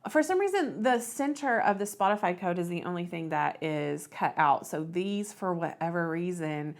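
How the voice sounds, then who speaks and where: A woman hosting a casual step-by-step craft software tutorial, thinking aloud as she works. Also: fairly low pitch, lively intonation, moderate pace. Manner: thinks aloud while working.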